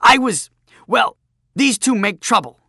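Man acting a shrill, blustering boy bully. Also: lively intonation, measured pace, breathy edge, no echo, clean studio sound, heavily acted. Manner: shouting, indignant, blustering. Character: cruel, pompous young village bully boy, panicky and shrill.

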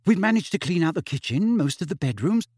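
A man's voice: high-pitched, lively intonation, a little mellow, slightly rough, no echo, clean studio sound, pitched above the performer's own voice. A man voicing an anxious, clever teenage girl who apologizes and explains in a hurry. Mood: hasty explaining. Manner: apologetic, hurried.

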